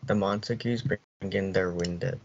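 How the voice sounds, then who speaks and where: A man's voice: deep voice, fairly even intonation, unhurried, slight background noise. A man reading scripted narration for a student play. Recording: dull, muffled lo-fi audio.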